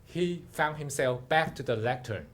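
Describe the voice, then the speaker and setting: A man giving a dramatic storytelling speech, with theatrical, deliberate phrasing. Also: medium pitch. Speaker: club speaker telling a story.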